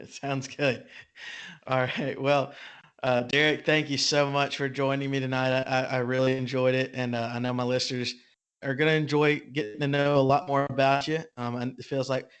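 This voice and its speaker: A man hosting a casual podcast interview, speaking in a halting, informal way. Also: medium pitch, little pitch movement, a bit bright, clear, resonant tone. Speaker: podcast host.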